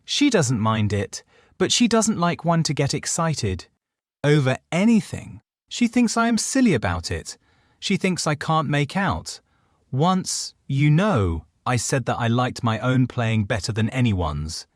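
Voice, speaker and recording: A man's voice: mid-range voice, very animated, unhurried, dry recording, clean studio sound. A man voicing a naive, dreamy young Edwardian lady in a storybook, speaking politely and earnestly. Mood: earnest explaining. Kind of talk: audiobook character dialogue.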